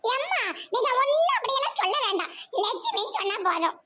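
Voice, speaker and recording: A woman's voice: extremely high pitch, sing-song, quick pace, pushed and bright, pitched above the performer's own voice, full character voice. A woman voicing a touchy, indignant village neighbour in an amateur cartoon comedy, huffy and curt, with a southern Tamil Nadu accent.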